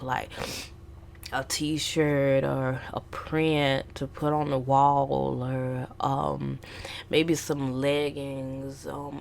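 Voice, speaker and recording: A woman's voice: low-pitched, vocal fry, slight background noise. A young woman artist casually rambling about her goals, stuffy from a flu, with many ums and restarts. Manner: meandering, lots of ums and restarts. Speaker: artist vlogger.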